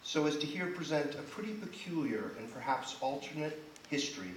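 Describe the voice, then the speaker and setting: Male stage narrator, formal and measured but animated in pitch, heard from afar in a very echoey hall. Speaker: reader in a live staged reading. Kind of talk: scripted literary narration performed on stage. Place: live theater performance. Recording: echoey hall, distant, faint noise.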